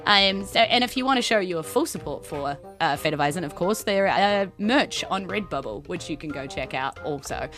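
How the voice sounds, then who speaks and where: A woman with a bright, forward voice chats as a podcast host. She is playful and informal, running through things like a game master wrapping up. Soft music plays behind her. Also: fairly low pitch, lively intonation, moderate pace, hardly any pauses. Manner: playful, jokey. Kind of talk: casual sign-off chat.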